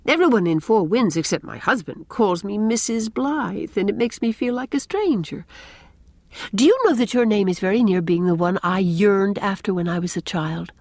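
An eager young wife with a gentle, earnest way of speaking, played by a woman storybook reader. Her intonation moves freely and she sounds warm and impulsive. The recording is close and dry. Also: medium pitch, animated, normal speed, no echo, pitched above the performer's own voice.

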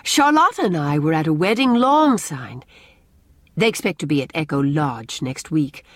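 A woman voicing a cheerful, romantic young bride-to-be in an audiobook, expressive and lyrical with a teasing touch. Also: low-pitched, moderate pace, no room sound, studio quality. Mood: chatty. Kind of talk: audiobook character dialogue.

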